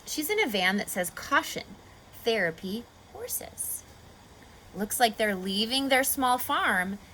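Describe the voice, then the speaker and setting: A woman reading a picture book aloud to children like a teacher, expressively, pausing to explain and ask questions. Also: on the high side, a little hiss or hum, poor audio. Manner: expressive, instructive, asking questions of young listeners.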